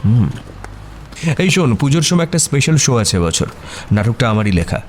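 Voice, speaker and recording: Actor as wildly animated teasing young friend. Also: male voice, medium pitch, fairly quick, a little hiss or hum, lightly acted. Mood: teasing, smug. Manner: teasing, mock-grand. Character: cheeky, self-assured young male friend, teasing playwright. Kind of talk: audio story drama acting.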